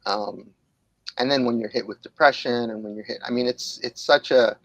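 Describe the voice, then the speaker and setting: A man's voice: medium pitch, moderate pace, vocal fry, a bit bright, no room sound, muffled recording. A man in his late forties, a jiu-jitsu instructor and author from New York, speaking candidly and reflectively as a podcast guest, with frequent fillers. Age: 40s. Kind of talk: podcast interview.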